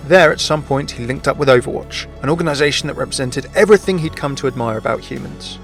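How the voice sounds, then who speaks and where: Man, medium pitch, fast pace, scripted gaming explainer, faint music behind. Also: fairly bright tone. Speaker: gaming video host.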